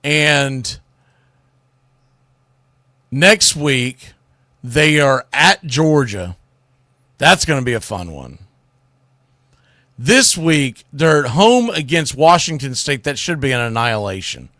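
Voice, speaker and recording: A man's voice: medium pitch, expressive, slow, pauses often, pushed and bright, no echo, studio quality. A man hosting sports radio, giving opinionated college football commentary in a blunt, emphatic, colloquial way.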